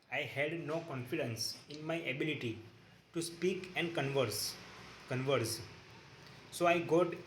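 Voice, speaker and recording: A man reading a book aloud in a halting way, repeating each sentence and correcting his own slips. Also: a little high, low-quality recording.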